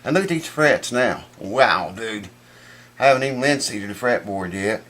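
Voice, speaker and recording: A man's voice: on the low side, animated, moderate pace, fairly bright tone. Folksy stuffed-up man in his workshop. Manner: folksy, enthusiastic, says "man" a lot. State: congested, stuffed-up nose. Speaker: guitar repair channel host. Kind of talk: casual workshop vlog.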